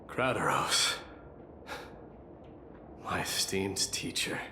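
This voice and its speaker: An actor playing an exiled warrior crown prince in a video game, formal, measured and resolute. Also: male voice, low-pitched, big pitch swings, background noise, full character voice. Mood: respectful, guarded. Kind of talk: scripted video game voice acting. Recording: music underneath the voice.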